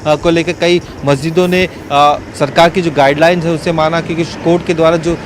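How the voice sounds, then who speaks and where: Higher-voiced male field reporter, faint street noise. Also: flat delivery, normal speed. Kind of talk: live unscripted news report.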